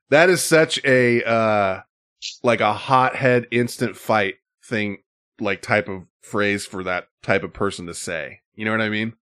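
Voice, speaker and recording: A man's voice: lowish voice, takes it slowly, fairly bright tone. A middle-aged man chatting casually on a podcast, telling stories with lots of filler words. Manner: rambling storyteller with filler words. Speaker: podcast co-host. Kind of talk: casual chat.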